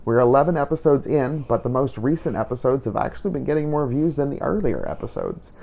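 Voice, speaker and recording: Gravelly, mellow man narrating a game. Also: mid-range voice, sing-song, fast talker, muffled recording. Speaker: host of a game playthrough series. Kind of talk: scripted roleplay game narration.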